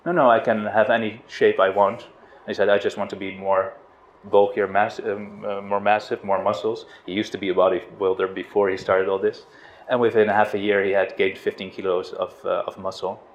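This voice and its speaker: A middle-aged man from the Netherlands giving an informal spiritual talk, relaxed and hesitant, with restarts and soft laughs. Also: lowish voice, moderate pace, hardly any pauses. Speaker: spiritual teacher. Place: outdoors on a river boat. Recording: roomy, dull lo-fi sound with faint noise.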